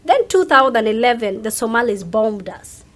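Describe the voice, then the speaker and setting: A woman's voice: on the high side, animated, moderate pace. A woman with a Ugandan accent, talking in an outspoken, emphatic way as a guest in a casual interview. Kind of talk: animated casual interview talk.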